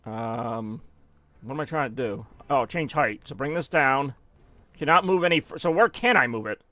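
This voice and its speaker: A man's voice: mid-range voice, normal speed, pushed and bright, no room sound. A man giving rambling, self-mocking live gameplay commentary as a streamer, now and then dropping in German phrases. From United States. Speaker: gaming streamer.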